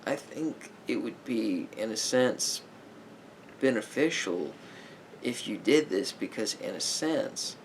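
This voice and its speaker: Slow, halting man as a gentle therapist. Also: lowish voice, lively intonation, a little hiss or hum, rough recording. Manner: halting, repetitive, hedging, gently advising. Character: calm, sympathetic male therapist counselling a client. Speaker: ASMR performer playing a therapist. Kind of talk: ASMR roleplay of a therapy session.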